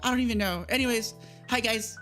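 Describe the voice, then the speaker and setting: A woman's voice: a little high, brisk, a bit bright, faint music behind. A woman chatting casually as she hosts a gaming livestream, giggly and easily sidetracked. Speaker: streamer hosting a gaming livestream.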